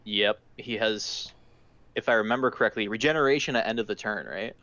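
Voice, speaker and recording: A man's voice: fairly low pitch, a little fast, pushed and bright, muffled recording. A man playing a tabletop game, chatting casually. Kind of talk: casual chat.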